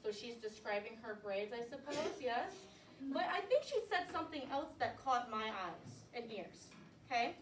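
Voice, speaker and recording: High-voiced teacher in an echoey classroom. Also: female voice, normal speed. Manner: lively, coaxing, call-and-response cues. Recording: echoey classroom from far off, light noise.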